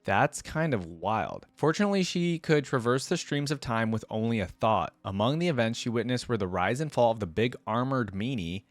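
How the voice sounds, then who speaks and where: A man's voice: mid-range voice, animated, moderate pace, hardly any pauses, no room sound. A man hosting a reaction channel, talking casually as he reads text aloud and comments on it.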